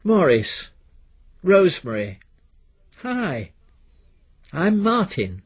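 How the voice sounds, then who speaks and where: A man's voice: high voice, wildly swooping pitch, very slow, husky, voice pushed up, heavily acted. A man voicing a breezy, over-familiar young warehouseman with jaunty, affected politeness in a storybook reading. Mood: breezy greeting.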